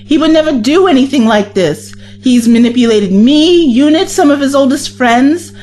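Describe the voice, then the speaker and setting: Earnest, urgent heroine, played by a woman: high, lively voice for sci-fi audio drama, emotional and principled. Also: moderate pace, slight background noise. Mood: earnest, determined. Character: earnest, principled former female companion of time traveler. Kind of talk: science-fiction audio drama acting.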